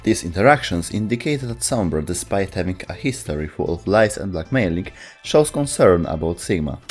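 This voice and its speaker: A man's voice: low voice, expressive, moderate pace, a little mellow, soft background music. A man reading scripted explainer narration as the narrator of a video.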